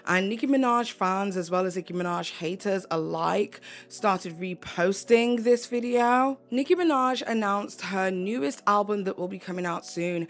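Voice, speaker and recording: A young woman, hoarse, earnestly delivering an opinion commentary vlog and repeating phrases for emphasis. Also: medium pitch, moderate pace, few pauses. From United Kingdom. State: hoarse, voice going. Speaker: commentary YouTuber.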